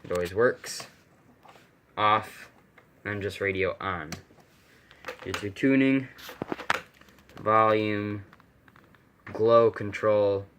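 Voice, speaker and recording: A man's voice: fairly low pitch, extremely slow, long pauses, fairly bright tone. A man giving a casual, off-the-cuff demonstration of a vintage radio as an amateur vlogger. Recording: clear background noise, clicks.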